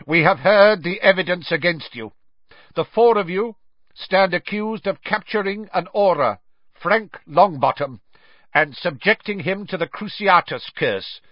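A man's voice: a little high, no room sound, muffled recording, voice pushed up. Man as a bellowing, pitiless older judge. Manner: loud, clear, bellowing, formal. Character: stern, hate-filled older male judge condemning prisoners.

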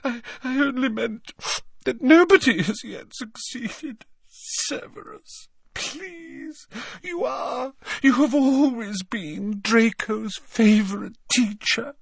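A man's voice: very high-pitched, vocal fry, a bit bright, breathy edge, dry recording, voice pushed up, full character voice. A man reading an audiobook, voicing a distraught aristocratic mother who pleads through sobs. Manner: sobbing, desperate pleading. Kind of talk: audiobook character voice.

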